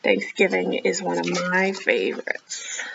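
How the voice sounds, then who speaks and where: A woman children's librarian reading a picture book aloud expressively, with animated, encouraging chatty asides to young listeners. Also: fairly low pitch, measured pace, vocal fry, dull audio, a little hiss or hum. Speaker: children's librarian hosting storytime.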